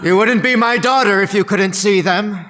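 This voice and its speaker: A man's voice: sits high, normal speed, pushed and bright. A man playing a nervous, defensive, fussy father in a comic stage play. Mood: insistent. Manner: stammering, fussy. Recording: reverberant hall.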